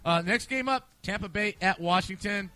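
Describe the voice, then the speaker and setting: A man's voice: sits high, normal speed, sharp tone. A man hosting a casual sports picks show, talking in a playful, slangy and sometimes profane way. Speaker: sports podcast host. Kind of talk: casual sports pick banter.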